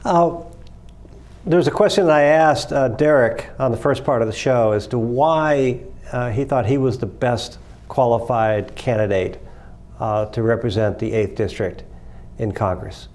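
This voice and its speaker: A man's voice: medium pitch, unhurried, a little mellow. A man in a mid-range voice hosts a local political interview from a studio. He asks plain questions and mostly backs up his guest with short affirmations. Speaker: TV interview host.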